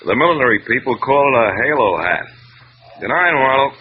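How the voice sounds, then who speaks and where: An American actor playing a tired, friendly, matter-of-fact police lieutenant, casual and low-key, in a 1940s radio drama. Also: male voice, expressive, brisk, natural voice. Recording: muffled, noisy vintage radio recording.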